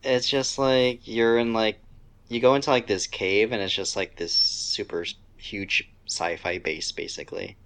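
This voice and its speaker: A man with a deep voice chats casually as a podcast co-host. He talks about video games informally, with a griping, conversational delivery. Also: fairly even intonation, measured pace, a bit bright.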